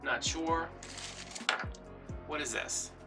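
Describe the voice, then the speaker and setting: American guy late 30s chatting while crafting, rambly n kinda dramatic, loud music behind him, mic bit far. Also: fairly high pitch, very slow. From New Orleans area, USA. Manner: rambling, playfully dramatic storytelling. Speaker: craft vlogger. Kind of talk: casual chatty crafting commentary. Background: background music.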